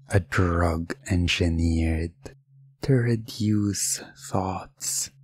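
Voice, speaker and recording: A man plays a cold, controlling game master villain in a hypnotic audio drama. His voice is very deep and breathy, and he gives commands at a crawling pace, sinking at times into whispers. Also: a little mellow, dry recording, full character voice. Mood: gloating threat. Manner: slow, commanding, dropping into whispers. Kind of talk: hypnosis ASMR roleplay.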